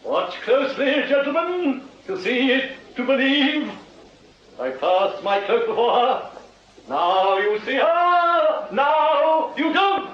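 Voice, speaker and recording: A man's voice: very high-pitched, big pitch swings, lo-fi sound, exaggerated voice. An actor playing a grandiloquent Victorian music-hall conjurer, drawing out his theatrical patter to an audience. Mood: showy, teasing. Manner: grandiloquent, drawn-out showman's delivery. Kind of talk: theatrical stage patter in radio drama. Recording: distant, echoey theatre sound with noise.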